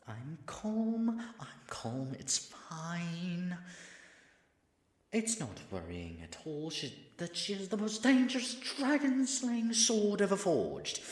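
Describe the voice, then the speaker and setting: A man's voice: sits high, fairly bright tone, breathy edge, exaggerated voice. A man acting in an audio roleplay as a nervous male dragon disguised as a human guide, stammering and muttering asides to himself. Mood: panicked, incredulous.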